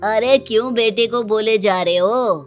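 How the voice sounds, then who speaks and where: A woman's voice: fairly high pitch, measured pace, lightly acted. Smug neighbour father for a comic tale, played by a woman: taunting, boastful, over light music.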